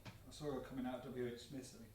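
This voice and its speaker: A man's voice: medium pitch, level tone, darkish tone, natural voice. Guy acting awkward apologetic bloke on a phone call, hesitant, really echoey and far off mic. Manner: hesitant, halting. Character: awkward, apologetic man phoning a friend while out. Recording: echoey room, distant mic, faint noise.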